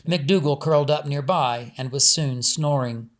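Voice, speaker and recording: Guy reading a kids audiobook, scripted story narration, medium pitch. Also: measured pace. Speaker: author reading own audiobook.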